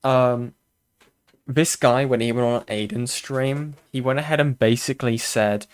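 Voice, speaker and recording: A man's voice: medium pitch, fairly even intonation, a little slow, clear, resonant tone. A man co-commentating on a stream, blunt and profane, scoffing at a caller.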